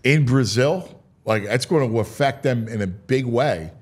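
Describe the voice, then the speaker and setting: A man's voice: mid-range voice, a little slow, darkish tone, husky. A man hosting a casual sports talk show, speaking emphatically and conversationally and repeating phrases for emphasis.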